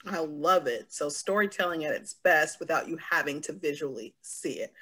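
A woman's voice: sits low, animated, normal speed, sharp tone, husky. An American woman in her forties moderating a video-call panel in a casual, conversational way. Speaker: panel host. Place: home room, indoors, video call.

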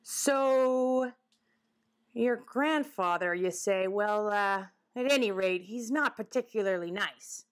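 A woman's voice: mid-range voice, takes it slowly, lightly acted. A woman voicing a fussy, nervous male woodchuck in a children's storybook, with a folksy, formal and dramatic way of talking. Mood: awkward.

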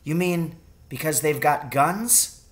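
A man's voice: on the high side, slow, pitched above the performer's own voice. A man voicing an eager, curious young boy in a storybook read-aloud, asking wide-eyed questions.

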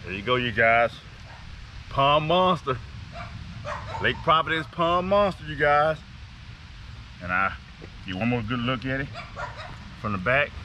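Southern us guy, middle-aged, excited fishing vlog chatter, medium pitch, noisy outdoor audio. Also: a little slow, pauses often, highs cut off. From Arkansas and Louisiana, United States. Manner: excited, repeats himself. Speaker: fishing channel host. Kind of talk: casual vlog talk. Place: outdoors by a neighborhood pond.

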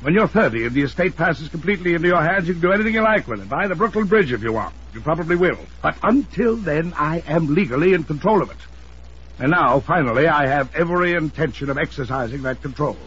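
An actor playing a pompous, controlling older uncle in 1950s radio drama style, short-tempered and given to formal, blustering speech. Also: male voice, fairly high pitch, lively intonation, quick pace, fairly bright tone, husky. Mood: angry, blustering. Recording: muffled hissy old radio recording.

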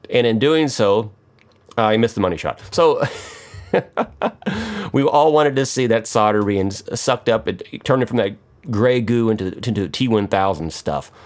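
A man's voice: lowish voice, expressive, moderate pace, a little mellow. A middle-aged American man giving casual, off-the-cuff how-to commentary in a wry, joking, self-mocking way. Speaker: electronics hobbyist, video host.

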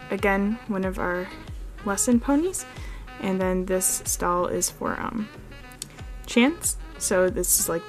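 A woman hosting her own channel, giving a casual walkthrough in a carpeted room while filming close with a handheld camera. She talks in a chatty way, with many "like"s and "um"s. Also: mid-range voice. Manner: chatty, filler-heavy, frequent "like" and "um". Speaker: model horse channel host.

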